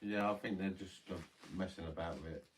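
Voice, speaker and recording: A laid-back British man chats along with a livestream host and mostly gives short replies. His voice is somewhat low and sounds distant, as if he sits away from the microphone. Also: steady tone, brisk. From Britain. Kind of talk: casual chat. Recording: heard from off-mic.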